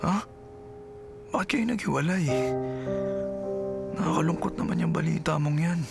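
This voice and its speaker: An actor with a Filipino accent playing a grateful, troubled husband of a sick wife in a radio drama. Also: male voice, a little high, normal speed, creaky, over loud music. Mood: sorry, heartfelt.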